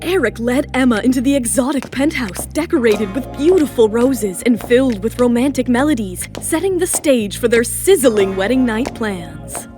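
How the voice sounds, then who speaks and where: Female narrator, high voice, dramatic scripted romance storytelling, music bed underneath. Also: lively intonation, normal speed, few pauses, warm tone.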